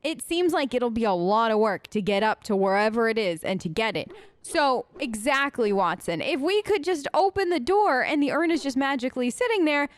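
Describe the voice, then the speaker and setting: A woman hosting a casual role-play let's play, talking in a playful, theatrical, halting way as her character. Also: fairly high pitch, lively intonation. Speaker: gaming host, role-playing as her character.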